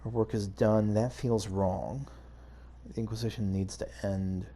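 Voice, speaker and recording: A man's voice: on the low side, fairly even intonation, a little slow, warm tone. A man giving casual video game playthrough commentary, hesitantly thinking aloud as he goes. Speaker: gaming video host.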